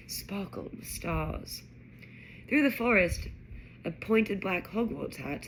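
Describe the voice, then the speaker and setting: A woman narrating a children's fantasy story aloud in an expressive reading style. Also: medium pitch, a little hiss or hum, low-quality recording. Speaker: audiobook-style reader.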